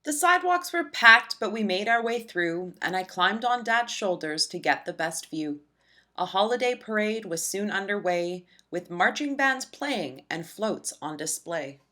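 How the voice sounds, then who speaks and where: A woman narrating a rhyming children's storybook in an expressive, deliberate storytelling manner for young listeners. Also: medium pitch. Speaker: children's book read-aloud narrator.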